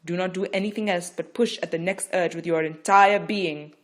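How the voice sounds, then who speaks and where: A woman's voice: fairly low pitch, fairly even intonation, normal speed, close to an everyday voice. A woman voicing a stern, urgent female midwife who barks firm orders during a birth.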